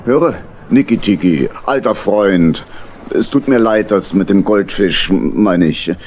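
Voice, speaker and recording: A man playing a contrite older badger uncle in a children's audio play, earnest and halting. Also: on the low side, animated, a little hiss or hum. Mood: apologetic. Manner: halting, earnest, gentle pleading. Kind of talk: children's audio drama acting.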